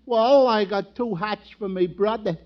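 An actor playing a slow-witted, cheerful goofy man in an old radio comedy, with a dopey, drawn-out delivery. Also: high-pitched. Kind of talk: 1940s radio comedy acting. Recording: muffled old radio recording, faint noise.

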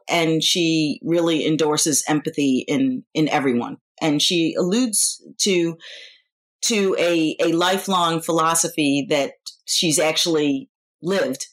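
Low-voiced American woman in her fifties. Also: slow pace. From New York, United States. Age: 50s. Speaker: interview guest, professional speaker. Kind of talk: casual conversational interview.

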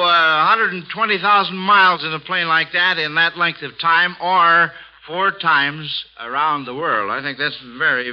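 A man with an American accent hosting a 1940s radio quiz show, encouraging his contestants. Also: fairly high pitch. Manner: encouraging, praising. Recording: dull vintage radio recording.

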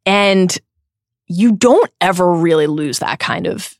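A woman in her thirties co-hosting a podcast, speaking earnestly and with emphatic, drawn-out stress. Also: on the low side, expressive, no echo, clean studio sound. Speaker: podcast co-host. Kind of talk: heartfelt podcast chat.

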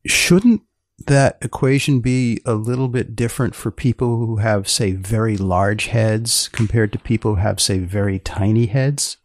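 A man hosting a podcast interview, asking short questions and prompts in a deliberate, deadpan way, often repeating phrases. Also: lowish voice, no room sound, clean studio sound.

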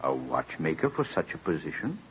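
Casting: a dignified, earnest head of state, low husky voice, formal persuasive delivery, muffled vintage radio sound. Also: male voice, big pitch swings, fairly quick, fairly bright tone, a little hiss or hum, full character voice. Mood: businesslike. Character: dignified, earnest American president urging a reluctant man. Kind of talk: 1930s-40s radio drama acting. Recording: dull old radio broadcast recording.

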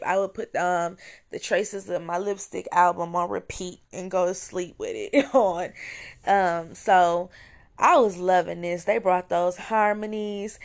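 A young woman with a hoarse edge, chatting eagerly about music on her solo podcast. Her voice stays smooth underneath the hoarseness and sits low, with a flat, even melody. She rambles a little, with restarts and fillers. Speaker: podcast host. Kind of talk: casual solo review podcast. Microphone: home recording, voice message style.

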